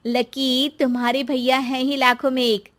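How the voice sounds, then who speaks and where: A woman's voice: high-pitched, level tone, measured pace, fairly bright tone. A woman voicing an affectionate, teasing young sister-in-law and devoted wife in a family story.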